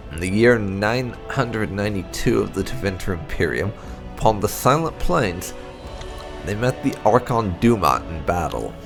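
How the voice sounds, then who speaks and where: A low-pitched man narrates fantasy lore like a deliberate storyteller. His voice has a gravelly creak and rises and falls with lively, dramatic intonation. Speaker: gameplay channel host. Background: music.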